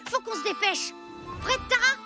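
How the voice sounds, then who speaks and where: A woman's voice: very high-pitched, animated, normal speed, over loud music. A woman voicing a brave, honest child in a French cartoon, speaking in an earnest, emphatic way. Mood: urgent. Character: brave, honest, urgent caveman child, loyal to dinosaur friend. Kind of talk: French animated cartoon dub acting.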